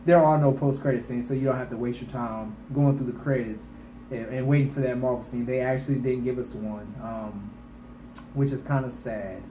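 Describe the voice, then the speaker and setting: A man's voice: mid-range voice, fairly even intonation, moderate pace, mellow. A man giving a casual, emphatic movie review to online viewers, speaking colloquially and repeating himself to drive points home. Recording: echoey room with faint background noise.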